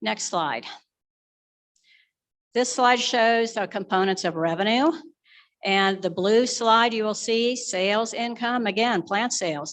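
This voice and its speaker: A woman's voice: mid-range voice, a bit bright. Woman presenting a finance report. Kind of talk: prepared financial presentation to members' meeting. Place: live members' meeting.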